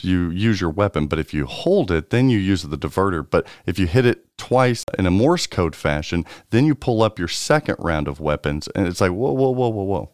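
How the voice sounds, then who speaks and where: Low-voiced guy co-hosting a podcast, rambly, dry close sound. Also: lively intonation, normal speed, hardly any pauses, darkish tone, no echo. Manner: rambling, lots of restarts and fillers. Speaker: podcast co-host. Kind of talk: casual chat about pinball news.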